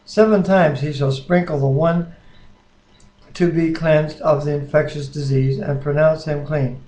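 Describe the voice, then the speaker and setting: A man's voice: on the high side, fairly even intonation, unhurried, dark tone. A man in casual devotional talk and scripture reading, speaking in an earnest, plain-spoken way as a co-host, recorded on a webcam microphone. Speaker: co-host reading the Bible aloud. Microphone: webcam microphone at a distance. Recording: echoey room on a webcam microphone.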